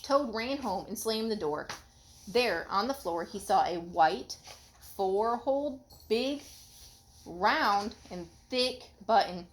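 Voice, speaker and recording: A woman reading a children's story aloud expressively and pausing to ask a child questions in a teacherly way. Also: mid-range voice, slight background noise. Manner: expressive, instructive, acts out the dialogue.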